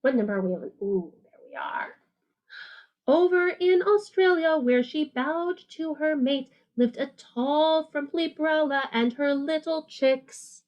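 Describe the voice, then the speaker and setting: A woman teacher reading a picture book aloud to children in an animated, sing-song way, with simple, casual explanations. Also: high-pitched, unhurried, lo-fi sound.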